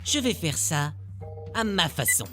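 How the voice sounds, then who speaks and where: A man's voice: on the high side, big pitch swings, a little slow. A man voicing an earnest, nervous and principled demon assassin in a cartoon dub, formal and a little hesitant. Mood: righteous. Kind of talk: animated series dub acting. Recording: loud music behind the voice.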